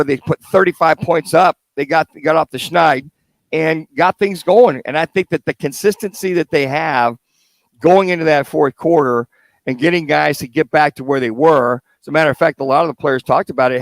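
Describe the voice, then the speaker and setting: American male sports radio co-host, slightly high-pitched, conversational analysis delivery, dry sound. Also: moderate pace, dry recording, rough recording. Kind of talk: casual sports talk and analysis. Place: live remote radio broadcast at a car dealership.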